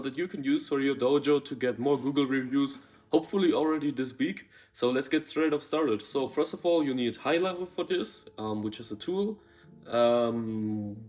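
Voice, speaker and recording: A young man of mid pitch explains things to camera in a loose, unpolished way. He fills gaps with ums and often restarts his sentences. He records himself in a room of his flat. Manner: loose, many ums and restarts, hand gestures. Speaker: consultant for martial arts schools. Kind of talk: casual talking-head advice. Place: bright room in a flat, indoors.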